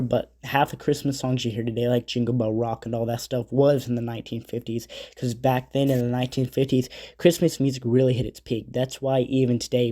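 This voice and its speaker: A man's voice: mid-range voice, dark tone, no echo, clean studio sound. A man hosting a casual podcast, rambling through notes with asides and self-corrections, his nose stuffy from a cold. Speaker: podcast host. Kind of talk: casual podcast monologue reading album facts.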